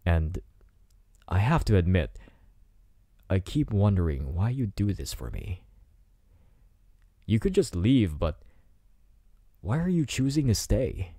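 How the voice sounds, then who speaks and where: A man's voice: on the low side, sing-song, slow, long pauses, dark tone, dry recording, clean studio sound, exaggerated voice. A man playing a cocky, teasing teenage delinquent student in an ASMR roleplay, with a smug, taunting delivery. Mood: earnest, probing.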